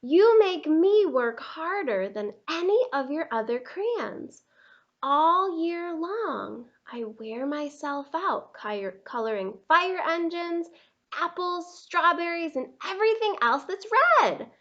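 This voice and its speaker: A woman's voice: high-pitched, sing-song, slow pace, a bit bright, highs cut off, voice pushed up, full character voice. A woman voicing an overworked, fed-up male red crayon with emphatic complaints in a storybook read-aloud. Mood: fed up, complaining.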